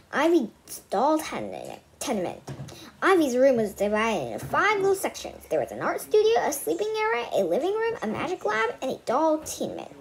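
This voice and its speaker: A young girl reads a children's story out loud in a high voice. Her pitch swings around in an animated way. She often stumbles, repeats a phrase, and slips into casual asides. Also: a little hiss or hum. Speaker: read-aloud host. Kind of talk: reading a children's book aloud with casual asides.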